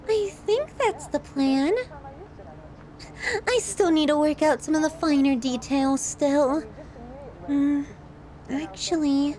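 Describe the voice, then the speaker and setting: A woman's voice: high voice, dark tone. A woman playing a possessive, sadistic yandere girlfriend in an ASMR roleplay, cooing and teasing with sweet menace. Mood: clingy, devoted. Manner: cooing, drawn-out, teasing, clingy delivery.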